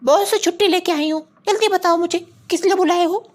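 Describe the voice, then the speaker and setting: A woman as a shrill-pitched, nagging cartoon mother. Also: brisk. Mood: impatient. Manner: scolding, dismissive. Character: brusque, nagging working mother and scornful wife. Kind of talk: comic cartoon dialogue.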